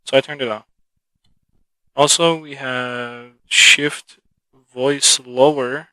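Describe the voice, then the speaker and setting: A man's voice: medium pitch, fairly even intonation, slow, pushed and bright, no room sound, low-quality recording. A man casually rambling through a review of a cheap audio mixer, thinking aloud as he goes, speaking into a cheap microphone. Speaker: product reviewer. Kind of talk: casual unscripted product review. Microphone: cheap mixer-connected microphone.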